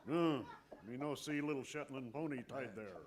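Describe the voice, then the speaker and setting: An actor playing a stolid, cautious cowboy's sidekick in a radio comedy, speaking deadpan in clipped, broken grammar. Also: male voice, medium pitch, normal speed, natural voice. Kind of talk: old-time radio comedy acting. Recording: live stage recording with background noise.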